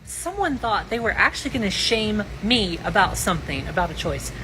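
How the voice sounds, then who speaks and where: A woman telling a casual personal anecdote in a deliberate, deadpan way. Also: mid-range voice, background noise. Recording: music behind the voice.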